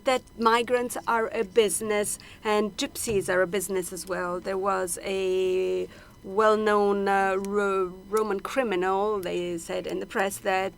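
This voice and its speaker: A woman interpreting a panel discussion simultaneously, halting often with hesitations and restarts as she renders each speaker. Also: medium pitch, fairly even intonation, gravelly, slight background noise. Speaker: conference interpreter.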